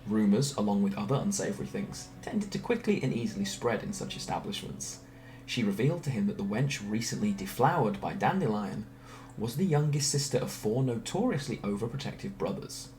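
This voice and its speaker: A man reading a scripted story aloud in a theatrical, expressive way. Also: fairly low pitch, fairly quick, a little hiss or hum, low-quality recording. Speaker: storyteller reading fan narration. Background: background music.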